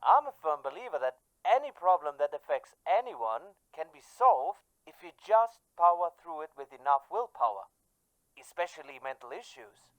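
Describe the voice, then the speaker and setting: A woman playing an energetic, folksy, opinionated man who phones in to a radio drama's show. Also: low voice, moderate pace, highs cut off.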